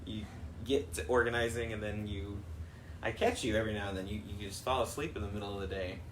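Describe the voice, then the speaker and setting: A woman's voice: a bass voice, steady tone, fast talker, slight background noise, rough recording. A woman co-hosting a casual improvised chat and reading out viewer questions.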